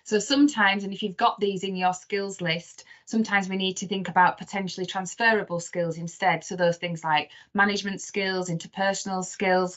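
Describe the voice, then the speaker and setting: A woman's voice: lowish voice, a bit bright. Woman co-presenting, slightly dull call audio. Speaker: webinar co-presenter. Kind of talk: informal tips presentation.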